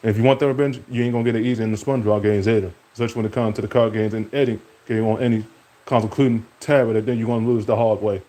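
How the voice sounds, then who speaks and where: A man's voice: fairly even intonation, racing, warm tone. A young man streaming, rambling from topic to topic in casual talk and speaking to an absent rival. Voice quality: deep voice.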